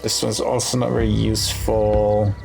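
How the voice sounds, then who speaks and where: A man's voice: on the low side, flat delivery, normal speed, vocal fry. A man giving casual playthrough commentary on a game, thinking aloud as he decides, with game music behind him. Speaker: gaming video host.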